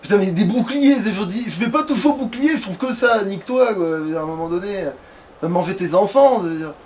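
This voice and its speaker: A man's voice: high voice, expressive, fairly quick, darkish tone. A man playing a game on a let's play, chatting casually and thinking aloud in sweary, colloquial speech, often grumbling to himself. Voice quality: foul-mouthed, colloquial speech. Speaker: let's play gamer at the controls. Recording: noisy home recording, clear background noise.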